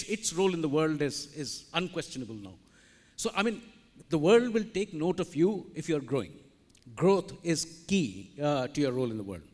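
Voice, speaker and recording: A man speaking on a conference panel, loud-voiced and rambling as he explains. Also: on the high side. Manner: loud, rambling, self-aware. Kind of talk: conference talk. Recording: reverberant conference hall.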